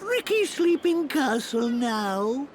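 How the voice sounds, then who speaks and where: A woman's voice: sits high, big pitch swings, slow pace, slight background noise, exaggerated voice. A woman voicing a cute, childlike little game creature that speaks in broken, simple third-person sentences. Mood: weary. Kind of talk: fantasy game character acting.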